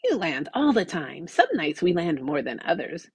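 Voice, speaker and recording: Woman acting a coy little elf lady, lively. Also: lowish voice, moderate pace, breathy edge, no echo, exaggerated voice. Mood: coy, playful.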